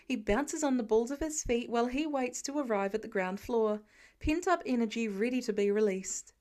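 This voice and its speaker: A woman's voice: on the high side, normal speed, a little mellow. A woman reading a story aloud as scripted narration for an amateur audiobook. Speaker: fan fiction audiobook reader.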